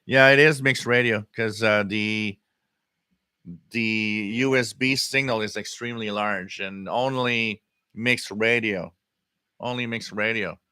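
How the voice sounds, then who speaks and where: A man hosting a casual live hobby stream, answering chat comments in a halting way and often repeating his words. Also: on the low side, sharp tone, clear, resonant tone, no echo, studio quality. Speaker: livestream host.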